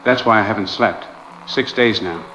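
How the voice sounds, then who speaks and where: Guy playing a worn-out arctic doctor doing a grim audio log, lowish voice, muffled noisy recording. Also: moderate pace, bright, forceful tone. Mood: exhausted, paranoid. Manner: grim, confessional, clipped short sentences. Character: haunted, exhausted male Arctic research doctor recording a log. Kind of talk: audio drama personal log narration.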